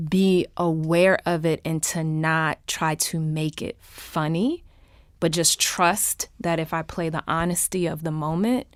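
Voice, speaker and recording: A woman's voice: lowish voice, clear, resonant tone, dry recording, clean studio sound. A woman actor in an interview, thinking aloud in a conversational, reflective way. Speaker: actor, panel guest.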